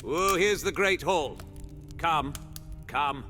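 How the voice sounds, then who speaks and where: A man's voice: on the high side, takes it slowly, bright, forceful tone. A man playing a roguish, irreverent monster hunter knight in a fantasy audio roleplay, talking in a theatrical, conspiratorial way. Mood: welcoming. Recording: long hall reverb under music.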